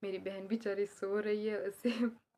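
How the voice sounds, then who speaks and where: A young woman vlogging casually at home, a little hoarse from a sore throat, chatting at length as she explains family customs. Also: medium pitch, level tone, a little slow, mellow. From Gujarat. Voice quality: slightly hoarse. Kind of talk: casual vlog chat. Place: indoors at home, inner room.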